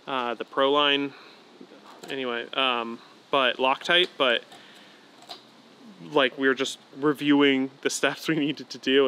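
A man's voice: mid-range voice, pushed and bright, a little hiss or hum. A young man casually explaining renovation steps on camera, with lots of fillers. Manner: explains with many fillers, easygoing. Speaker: vlogger.

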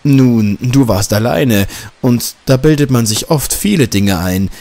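A man's voice: mid-range voice, expressive, unhurried, a little mellow. Audiobook role: self-assured male journalist, breezy and teasing read by a man. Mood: dismissive. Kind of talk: audiobook dialogue.

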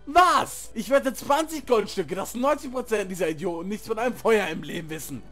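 Man playing a high-voiced, flippant game hero. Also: animated, normal speed, over music. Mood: flippant, unwilling. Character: flippant, reluctant male cartoon game hero. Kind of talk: German cartoon parody fan dub.

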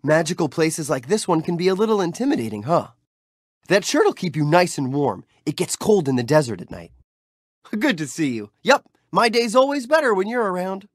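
A cheerful young street-thief hero from a video game, chatty and playful as he cheers the player on. His voice swoops widely up and down with bright youthful bounce. It is recorded dry and close on a studio mic with no room sound. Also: sits high, sing-song, normal speed, dry recording. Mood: upbeat. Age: young adult. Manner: friendly, playful, upbeat and encouraging. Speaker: game character.

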